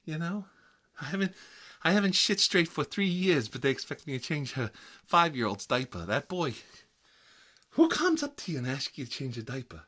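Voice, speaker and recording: A man's voice: a little high, big pitch swings, normal speed, fairly bright tone. A man playing a whiny, foul-mouthed grown son who lives with his mother, complaining and rambling in a comedy sketch. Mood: grumbling. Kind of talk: improvised comedy roleplay sketch.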